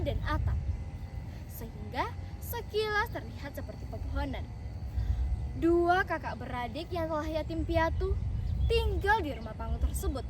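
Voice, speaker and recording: A woman from Indonesia telling a folktale in a theatrical, declamatory way with deliberate pauses. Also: high voice. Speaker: storyteller. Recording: distant microphone, noisy background.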